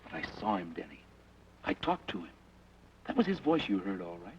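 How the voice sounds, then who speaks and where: A blunt, low-voiced outlaw. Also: male voice, sing-song, fairly quick, slight background noise. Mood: grim. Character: tough outlaw gunman, adult man, blunt. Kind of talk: 1940s radio acting. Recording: dull old radio, heard from a distance, music behind.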